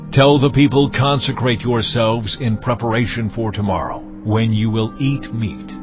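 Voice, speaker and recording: An actor playing a stern, majestic God in a dramatized audio Bible, with solemn, commanding declamation. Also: male voice, mid-range voice, lightly acted. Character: God, stern, majestic, authoritative male deity. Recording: dull lo-fi sound under clear music.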